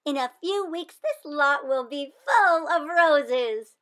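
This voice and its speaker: A woman reading aloud voices a hopeful young schoolgirl who talks in an excited, slow and emphatic way. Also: high voice, voice pushed up, exaggerated voice. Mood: eager.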